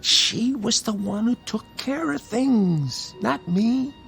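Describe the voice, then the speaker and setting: A man acting in a radio drama as a beaten-up, protective older brother, streetwise and wisecracking, talking in a wry, halting way. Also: very high voice, animated, creaky, fairly bright tone, soft background music, full character voice. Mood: reassuring, earnest.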